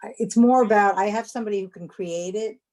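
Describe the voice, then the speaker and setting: A woman, around fifty, talking on a video call in a hesitant, halting way, restarting her sentences often. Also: mid-range voice, a little mellow. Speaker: sculptor and course participant. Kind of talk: casual video-call chat.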